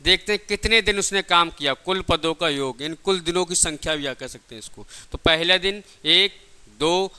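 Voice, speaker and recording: Bright, high-pitched male teacher. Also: normal speed, smooth. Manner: didactic, repeats phrases and asks rhetorical questions he answers himself. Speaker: physics teacher for exam preparation. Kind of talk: classroom lecture in Hindi with step-by-step questions and answers.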